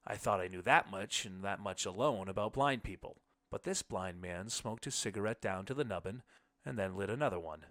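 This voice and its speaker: A man narrating a literary short story in the first person, with measured phrasing. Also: lowish voice, a bit bright. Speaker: audiobook narrator.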